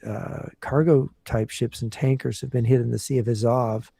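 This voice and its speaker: A man talking as a guest on a podcast, explaining at a measured pace with many fillers and restarts. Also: medium pitch, steady tone, gravelly, warm tone, breathy edge, dry recording, studio quality. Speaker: guest analyst. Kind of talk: casual podcast interview.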